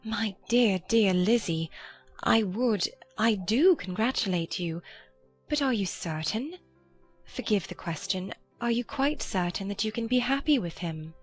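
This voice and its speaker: A woman playing a gentle, earnest, affectionate young gentlewoman in a dramatized period audiobook. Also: medium pitch, animated, measured pace, soft background music. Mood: earnest concern. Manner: earnest, tender.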